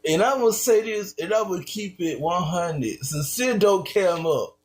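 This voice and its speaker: A man's voice: high-pitched, lively intonation, a little slow, a little mellow. A man streaming, reacting to a video with slangy, incredulous casual commentary.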